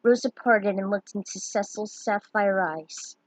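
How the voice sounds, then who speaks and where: A woman's voice: mid-range voice, measured pace, no echo, poor audio. A woman reading a story aloud as narrator, in a dramatic storytelling style. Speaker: fan fiction reader, narrator.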